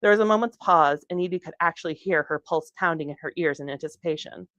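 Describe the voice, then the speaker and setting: A woman reading narration from a young adult novel aloud in an expressive storytelling way. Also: low voice, fast talker, dry recording. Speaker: author reading from their book.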